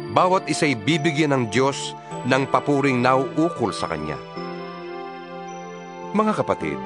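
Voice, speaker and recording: A man giving a solemn, expressive dramatized reading of scripture, his pitch swinging widely. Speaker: Bible reader. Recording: reading over guitar music.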